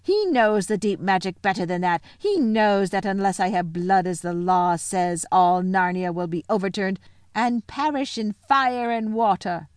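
A woman's voice: unhurried, no room sound, studio quality, lightly acted. A woman voicing a cold, haughty witch queen in a fantasy story, snarling, menacing and declamatory. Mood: savage scorn. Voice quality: shrill, snarling. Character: cold imperious witch queen, savage, shrill when angered. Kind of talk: audiobook fantasy character voice.

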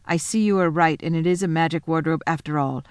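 A woman's voice: low-pitched, fairly quick, smooth, no room sound, clean studio sound. Sulky storybook schoolboy, snappish and greedy; voiced by a woman reader.

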